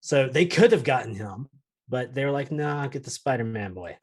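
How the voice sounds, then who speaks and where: A man's voice: medium pitch, animated, moderate pace, slightly rough. A man co-hosting a podcast in casual, unscripted conversation. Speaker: show co-host.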